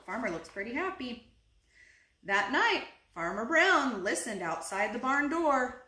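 Bright, expressive woman reading to children. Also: on the high side. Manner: expressive, with drawn-out words and asides to young listeners. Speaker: children's story reader and teacher. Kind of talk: read-aloud picture book narration for young children. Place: small living room, indoors on a couch. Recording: roomy echo.

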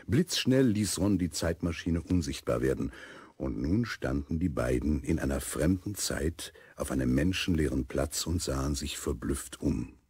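A man with a husky voice narrating a children's radio play in a scripted storytelling style. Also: lively intonation, unhurried, a little mellow, no room sound. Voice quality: very deep, husky.

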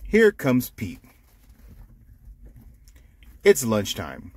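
A man reading a picture book aloud to children, explaining it simply with animated asides. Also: mid-range voice, extremely slow, dry recording. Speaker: storytime reader.

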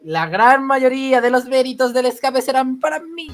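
High-pitched goofy gamer. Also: male voice, normal speed, a bit bright. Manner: goofy, mock-whiny, jokey. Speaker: gaming roleplay creator. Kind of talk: comedic Minecraft roleplay. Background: background music.

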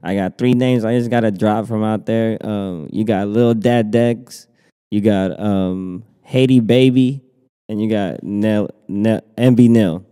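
A young man from the Philippines talks easy and relaxed as a podcast guest, his voice mellow and smooth. He laughs easily and drops shout-outs. The desk mic picks up a lingering reverb from the studio room. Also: fairly low pitch, unhurried. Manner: laid-back, laughs often, gives shout-outs. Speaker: guest rapper. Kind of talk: casual podcast chat. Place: small office studio room. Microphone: desk mic on stand.

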